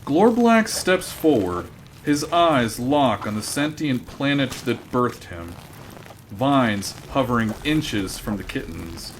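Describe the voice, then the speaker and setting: A man's voice: medium pitch, very animated, slow pace, slight background noise, poor audio. A man narrating a comedy radio drama in a mock-grand storytelling manner.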